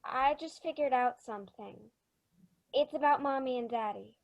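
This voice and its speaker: A woman's voice: high voice, normal speed. A female performer playing a polite, earnest little girl in an old-time radio play. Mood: solemn. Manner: polite, careful, childlike.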